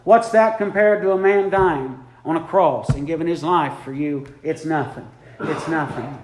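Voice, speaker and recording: Preacher guy, high voice, repeats himself hard, echoey church. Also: a little mellow, a little hiss or hum. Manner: fervent, repeats lines for emphasis, drawn-out phrases. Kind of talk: impromptu sermon. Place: church sanctuary.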